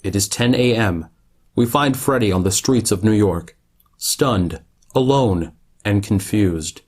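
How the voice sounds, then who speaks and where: A man narrating a futuristic radio play as a solemn, measured, dramatic storyteller. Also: lowish voice, a little mellow.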